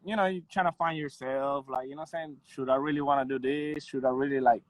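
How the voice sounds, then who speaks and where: A man in his thirties from Puerto Rico, a guest audio engineer chatting in a relaxed, modest way with soft laughs and lots of "you know". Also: mid-range voice, quick pace, no room sound. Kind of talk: casual interview chat.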